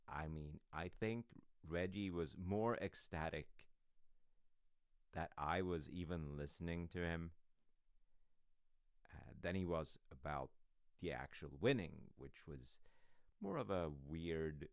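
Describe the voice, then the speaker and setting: Loving, sheepish husband played by a man for ASMR audio roleplay: gravelly, unhurried, rambling, close and dry sound. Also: sits low, no echo. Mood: sheepish, amused. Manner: halting, rambling, hedging delivery.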